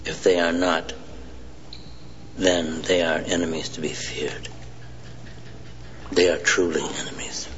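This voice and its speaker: An actor playing a brooding, menacing older renegade army colonel, speaking low and slow in a half whisper, in a film clip. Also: male voice, little pitch movement, fairly bright tone. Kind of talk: film dialogue. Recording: muffled film audio with background hiss.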